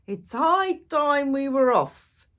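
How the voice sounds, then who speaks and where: A woman's voice: high voice, big pitch swings, slow, a bit bright, voice pushed up, full character voice. A woman voicing a jovial, bossy pirate captain who bellows hearty pirate talk for a children's storybook. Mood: bossy, commanding. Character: loud, blustering pirate captain, a grown man, jovial and bossy.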